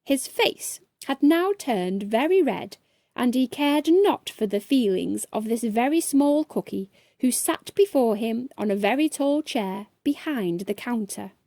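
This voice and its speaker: A woman's voice: a little high, expressive, measured pace, dark tone, no echo. A woman narrating a children's bedtime story expressively, as a storyteller reading aloud.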